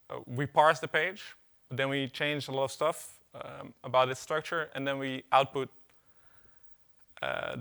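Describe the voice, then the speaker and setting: Bright-voiced man giving a tech talk. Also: mid-range voice, creaky, clean tone. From Netherlands. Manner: casual, filler-heavy, wry asides. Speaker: conference speaker. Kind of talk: technical conference talk. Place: conference hall.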